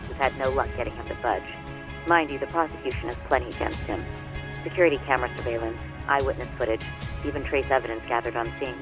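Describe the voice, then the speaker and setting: A man playing an earnest lawyer in a video game cutscene, briefing in a measured, formal, explanatory way. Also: medium pitch, lightly acted. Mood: measured, factual. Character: earnest male lawyer briefing a detective colleague. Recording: music playing behind the voice.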